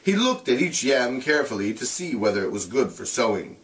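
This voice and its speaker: A man's voice: mid-range voice, very animated, a little fast, distant mic. A man who sounds like a teacher, reading a novel aloud and explaining it in plain, casual terms. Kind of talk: read-aloud narration with casual explanatory asides.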